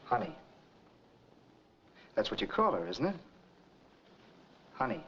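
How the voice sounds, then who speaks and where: A man's voice: medium pitch, huge pitch swings, slow, pushed and bright, slight background noise, exaggerated voice. A man playing a cold, commanding killer in a 1940s crime film, talking in curt, tough slang. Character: cold, menacing male killer and escaped convict in crime film. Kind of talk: 1940s film noir acting. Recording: dull vintage film soundtrack, music behind.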